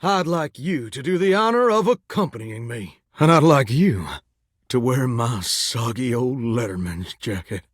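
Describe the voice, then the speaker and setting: A man's voice: medium pitch, lively intonation, unhurried, no echo, studio quality. A man with a Southern US accent voicing a folksy, earnest, starry-eyed singing shower in a dating game, drawling homespun sayings. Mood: eager, flirty. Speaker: voice actor in a dating sim game. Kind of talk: expressive video game character dialogue.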